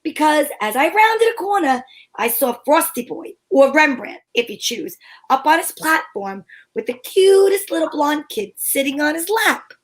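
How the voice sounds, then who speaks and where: A woman's voice: high voice, sing-song, normal speed. A woman playing a sassy, wisecracking young lady detective who narrates in an arch, old-time radio comedy style. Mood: indignant, wry.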